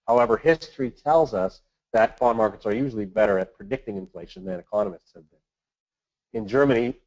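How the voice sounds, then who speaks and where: A man giving a prepared financial market update as an analyst, in a measured, formal presenting manner. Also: mid-range voice, a little mellow. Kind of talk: prepared market commentary on a conference call. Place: conference call webinar. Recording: dull, lo-fi conference call audio.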